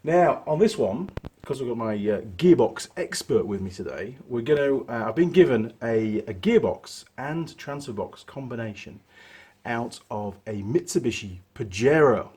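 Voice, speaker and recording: A man, hoarse from a cold, hosting his workshop channel and chatting casually through a gearbox teardown with plenty of fillers. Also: medium pitch, moderate pace. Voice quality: husky. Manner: chatty, relaxed, rambling with fillers.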